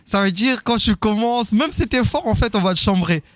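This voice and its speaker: Hoarse young man, relaxed rapper guest. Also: high voice, moderate pace, no echo, clean studio sound. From Congo (Kinshasa), grew up in France. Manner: easygoing, repeats "c'est ça", "et cetera". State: hoarse after performing. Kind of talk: casual radio interview.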